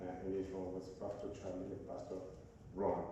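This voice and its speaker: A man with a Venezuelan Spanish accent preaches a sermon slowly, stopping to hunt for words. His voice is low and mellow. It sounds like he's well away from the mic in a big echoey church, with a faint hiss behind him. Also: little pitch movement. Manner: halting, searching for words, frequent "uh" and restarts. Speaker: guest preacher. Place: church sanctuary. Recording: echoey hall from far off.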